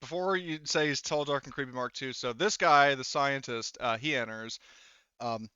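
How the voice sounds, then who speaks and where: A man speaking casually and haltingly as he narrates and runs a tabletop roleplaying game as game master. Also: mid-range voice, sharp tone, smooth, no echo, lo-fi sound. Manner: halting, conversational, casual swearing.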